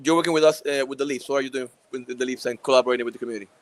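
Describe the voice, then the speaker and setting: A man with a Puerto Rican accent co-hosting a podcast at a live event, playful and quick to joke and laugh. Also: mid-range voice, steady tone. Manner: playful, joking, laughs often. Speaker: podcast co-host. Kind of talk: casual chat at a live event.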